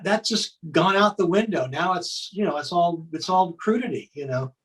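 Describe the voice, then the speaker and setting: A man with a somewhat higher-pitched voice speaks as a guest in an interview. He is earnest and conversational as he shares his views. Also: animated, moderate pace, fairly bright tone, poor audio. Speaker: guest on a radio history show.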